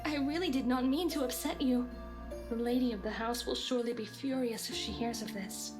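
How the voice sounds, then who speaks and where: Actress as a high-voiced, formal servant woman, music behind. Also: close to an everyday voice. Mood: meek, apologetic. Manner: deferential, measured, formal. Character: meek, formal servant woman, eerily calm and unperturbed. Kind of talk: visual novel voice acting. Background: game music.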